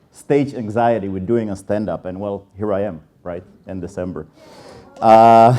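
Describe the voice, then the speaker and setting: A man's voice: medium pitch, warm tone. A man in his thirties with a Czech accent, giving a candid, self-deprecating conference talk with frequent filler sounds. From Czech Republic. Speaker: conference speaker. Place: conference stage.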